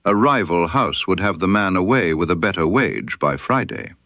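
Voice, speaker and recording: A man's voice: sits low, expressive, normal speed, no room sound, dull audio. A man voicing an evasive, obsequious waxworks proprietor in an audiobook story, speaking formally and guardedly. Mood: guarded, defensive. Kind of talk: audiobook character dialogue.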